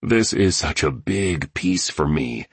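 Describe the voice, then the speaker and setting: A man voicing an earnest, enthusiastic male artist in an audiobook, with a husky voice. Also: sits low, lively intonation, slow, no echo, heavily acted. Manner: earnest, eager explaining.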